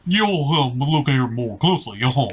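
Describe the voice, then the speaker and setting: Man doing a halting, dopey slang-talking puppet. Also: medium pitch, lively intonation, slight background noise, close to an everyday voice. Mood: dopey, hesitant. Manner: halting, mumbled slang full of stammers.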